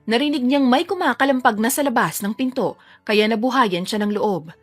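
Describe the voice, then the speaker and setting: A woman's voice: fairly high pitch, moderate pace, soft background music. A woman reading scripted narration for a dramatic audio story.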